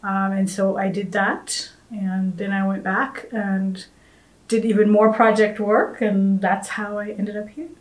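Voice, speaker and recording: Soft-spoken woman from Uganda on a panel. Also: medium pitch, little pitch movement, roomy sound, rough recording. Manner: soft-spoken, halting, with many uhs and restarts. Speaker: panel guest. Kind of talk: casual conference panel talk.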